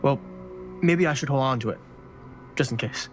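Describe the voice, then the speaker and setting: An actor voicing a wisecracking young slacker in a cinematic horror game, talking in loose, slangy, profane jokes. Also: male voice, medium pitch, moderate pace, faint music behind, close to an everyday voice. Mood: casual, joking.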